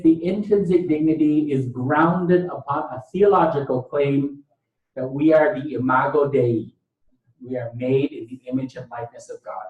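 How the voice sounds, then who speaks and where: A man's voice: on the high side, darkish tone. A man from Thailand lecturing, speaking emphatically, repeating key phrases and posing rhetorical questions. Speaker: lecturer, bioethicist. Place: indoor lecture room. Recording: echoey room, distant mic.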